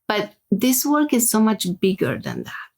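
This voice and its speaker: A woman from Romania speaks with a Romanian accent and a gravelly edge to her voice. She explains her practice at length as an interview guest, checking in with "right?" after each point. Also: fairly high pitch, wildly swooping pitch, unhurried, a little mellow.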